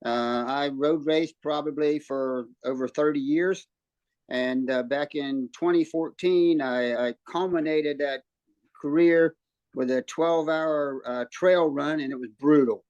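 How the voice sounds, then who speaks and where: A man in his seventies from West Virginia tells his story in a relaxed, rambling way, with plenty of ums and restarts. His fairly high voice sounds close and dry, with no room around it. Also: a little slow, dry recording, muffled recording. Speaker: guest, former runner and coach. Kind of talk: casual interview chat. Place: hotel room.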